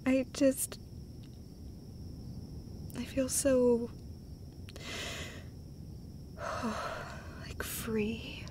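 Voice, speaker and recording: High-voiced woman, tender and often whispering. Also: little pitch movement. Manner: tender, emotional, halting, often dropping into a breathy whisper. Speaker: audio roleplay performer playing an affectionate girlfriend. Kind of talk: intimate comfort roleplay, acted as one side of a conversation.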